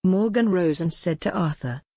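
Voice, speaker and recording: A woman reads a novel aloud in an even, measured way. Her voice sits fairly low with a dark, mellow timbre, and the close mic leaves a dry sound with no room. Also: no echo. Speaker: audiobook narrator. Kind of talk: scripted novel narration.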